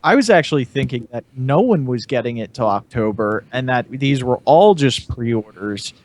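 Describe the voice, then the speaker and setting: Mid-pitched man, dry sound. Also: expressive, moderate pace, a little mellow, dry recording. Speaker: podcast panelist. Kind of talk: casual chat about collectible toys.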